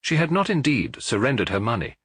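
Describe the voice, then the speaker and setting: A British man reading a detective story as an audiobook, in a measured, precise literary narration. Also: mid-range voice, sing-song, a bit bright, breathy edge, no room sound.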